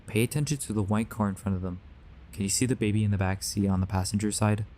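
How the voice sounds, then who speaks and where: Guy acting as a grave low voiced man warning about a conspiracy, earnest, sci-fi audio drama. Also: steady tone, quick pace, dark tone, slight background noise, natural voice. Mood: grave, urgent. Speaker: voice actor.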